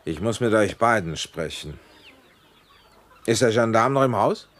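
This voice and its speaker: A man acting as a methodical police inspector in a radio play, speaking in a measured, confiding way. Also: on the low side, expressive, fairly bright tone. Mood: hearty, brisk. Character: methodical male police inspector, musing and dutiful. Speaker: radio drama actor, narrator and lead. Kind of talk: German radio play narration and dialogue.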